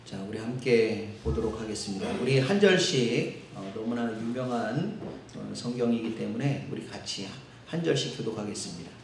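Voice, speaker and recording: A male pastor from Seoul with a Seoul accent, preaching a sermon in a conversational way with filler pauses. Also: lowish voice, lively intonation, gravelly, a little hiss or hum. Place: church sanctuary. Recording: echoey church hall.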